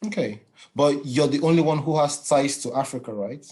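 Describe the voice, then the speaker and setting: A man's voice: a little high, a little mellow. Man hosting a chat. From Nigeria. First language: Yoruba. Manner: halting, many fillers and restarts. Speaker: podcast host. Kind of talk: casual interview chat.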